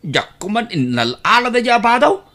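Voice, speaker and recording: A woman's voice: on the high side, sing-song, moderate pace, a bit bright. A woman playing a put-upon, resentful young family driver, hesitant and plaintive, in an audio drama. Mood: aggrieved. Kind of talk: Ilocano audio drama acting.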